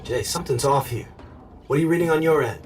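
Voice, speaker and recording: A man's voice: fairly high pitch, fast, close to an everyday voice. A man playing a determined military colonel in a robotic suit in an action video game, talking urgently in clipped military phrases. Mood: urgent, wary. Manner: clipped military radio talk. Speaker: soldier. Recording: loud music, voice sounds distant.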